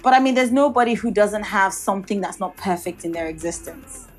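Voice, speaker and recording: A woman, her Yoruba, answering interview questions in a reflective way. She speaks at a middling pitch and often circles back with restarts and "you know". The talk sounds measured and considered. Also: faint music behind. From Nigeria. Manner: thoughtful, many restarts and "you know", occasional light laughs. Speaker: guest architect and designer.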